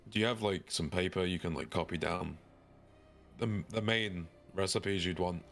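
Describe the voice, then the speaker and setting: A man with a gravelly voice chatting casually in character as a player in a tabletop roleplaying game. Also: low voice, moderate pace, a bit bright, faint music behind.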